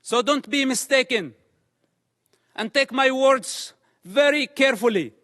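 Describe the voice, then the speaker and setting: Man w/ really high forceful voice, talks slow, emphatic like a deliberate public statement. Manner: emphatic, measured.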